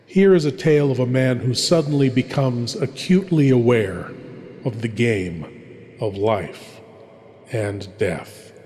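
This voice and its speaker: A man playing a sinister, grandly eerie horror host, speaking in a dramatic, intimate style with portentous pauses. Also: medium pitch, darkish tone, natural voice. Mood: ominous, teasing. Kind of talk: theatrical scripted horror introduction. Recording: long cavernous reverb.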